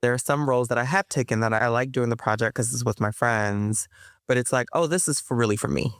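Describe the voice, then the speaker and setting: A woman's voice: extremely low pitch, fast talker, no echo. Thoughtful woman guest, dry close sound. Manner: thoughtful, many fillers and restarts, laughs often. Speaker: interview guest, actor. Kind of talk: podcast interview.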